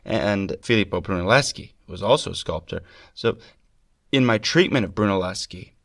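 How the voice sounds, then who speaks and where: Congested, slightly gravelly male podcast host. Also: on the low side, a bit bright. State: congested with a cold. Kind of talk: scripted lecture on art history.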